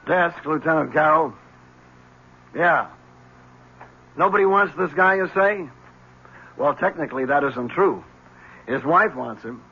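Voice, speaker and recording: An actor playing a wry, laid-back police lieutenant in a 1970s radio drama. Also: male voice, a little high, expressive, measured pace, long pauses, pushed and bright, husky, full character voice. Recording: dull old radio broadcast.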